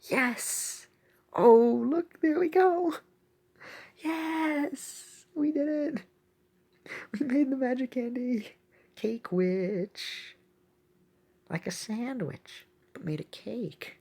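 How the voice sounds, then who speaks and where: A woman giving casual, excitable gameplay commentary as a video host, thinking aloud as she plays. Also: sits high, very slow, pauses often, breathy edge. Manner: excitable, exclaiming often; thinks aloud while playing.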